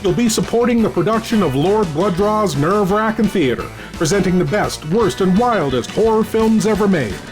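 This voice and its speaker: A man's voice: sits high, lively intonation, normal speed, hardly any pauses, over music, low-quality recording. A man giving a theatrical promotional announcement for a show in an emphatic, showman-like manner.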